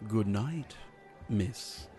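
Guarded, formal butler. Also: male voice, on the low side, drawn-out words, mellow, soft background music. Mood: politely formal. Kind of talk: radio theater acting.

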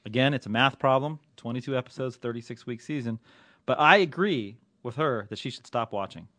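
Animated man hosting a TV podcast. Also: medium pitch, a little fast, no room sound. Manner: animated, loud, swings between shouting and mumbling. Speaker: podcast host, TV critic. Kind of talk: casual comedic podcast banter.

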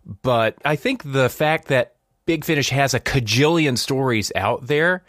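A man's voice: medium pitch, measured pace, fairly bright tone, no echo, clean studio sound. A man hosting a podcast, talking in a casual, reflective and conversational way. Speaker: podcast host.

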